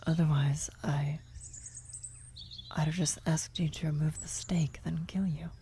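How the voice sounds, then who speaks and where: A woman acts out a worried female vampire in a medieval fantasy ASMR roleplay. Her low voice is soft and mellow, often slipping into a whisper. She speaks haltingly and gently, with faint birdsong and nature ambience behind her. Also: fairly even intonation, slight background noise. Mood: surprised, uneasy. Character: worried female vampire blood sorcerer, tender, guilty lover. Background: birdsong, nature ambience effects.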